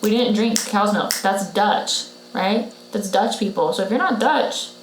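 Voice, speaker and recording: A woman's voice: mid-range voice, measured pace. A woman co-hosting a podcast, giving blunt health advice in a casual, emphatic way and repeating her key points. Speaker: podcast co-host, patient. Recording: roomy echo with faint noise.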